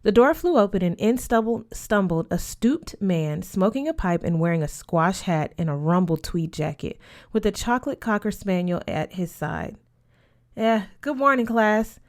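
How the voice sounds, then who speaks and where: A woman's voice: fairly low pitch, lively intonation, normal speed, darkish tone. A woman narrating a first-person story as an audiobook reader.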